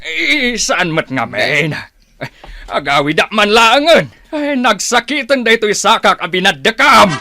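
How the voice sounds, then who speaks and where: A man's voice: sits high, sing-song, unhurried, creaky, bright, forceful tone, soft background music, heavily acted. An actor playing a plaintive older village father in a radio comedy-drama, given to repeating himself. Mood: subdued, regretful.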